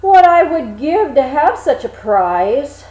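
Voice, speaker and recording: A woman as naive young fairy-tale man, eager exclamations, swooping pitch, roomy echo. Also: big pitch swings, slow pace, mellow, exaggerated voice. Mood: vexed, then wishful. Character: cheerful naive young man Hans, easily delighted. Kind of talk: storybook character reading.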